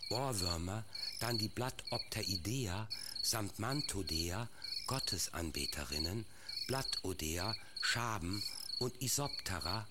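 A man's voice: on the low side, very noisy. A man reading a scientific scripted narration for a sound-art piece, listing technical terms like a lecturer. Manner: enumerating Latin taxonomic terms like a lecture. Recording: loud electronic music and insect sounds underneath. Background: techno beats with chirping and buzzing insects.

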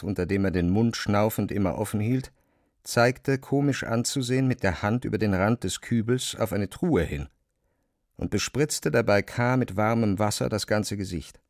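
A man narrating a literary radio drama in German in a measured, deliberate way. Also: on the low side, no echo, clean studio sound.

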